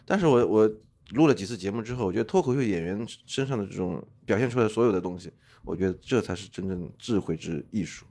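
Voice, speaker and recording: A man's voice: lowish voice, expressive, quick pace, dark tone. A man chatting casually as a guest, earnest and repeating himself for emphasis. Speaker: podcast guest. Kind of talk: casual chat.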